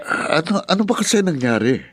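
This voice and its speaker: Fretful Filipino uncle, lively intonation. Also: male voice, fairly high pitch, fairly quick. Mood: worried. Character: concerned, exasperated younger brother and uncle. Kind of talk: Tagalog radio drama acting.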